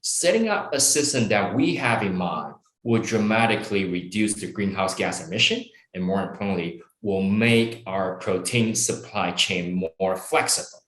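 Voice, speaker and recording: Middle-aged man from Taiwan, founder type, low voice with lively swings, in an echoey room. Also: normal speed. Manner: animated, speaks in long run-on explanations with fillers. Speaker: startup founder and CEO. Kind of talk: conversational interview answers.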